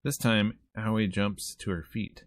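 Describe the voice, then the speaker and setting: Male gaming streamer: deep voice, casual narration, wry off-the-cuff commentary. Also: steady tone, takes it slowly, no room sound. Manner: wry, informal asides. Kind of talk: casual visual novel playthrough, narrating and commenting.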